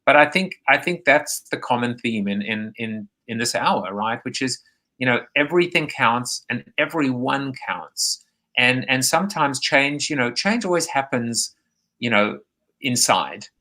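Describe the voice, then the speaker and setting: A South African man hosting a live video call show. His voice is bright and forceful, and he rambles with frequent restarts. He speaks at a middling pitch. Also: expressive, a little slow. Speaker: host. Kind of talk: live online interview show. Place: home, remote video call.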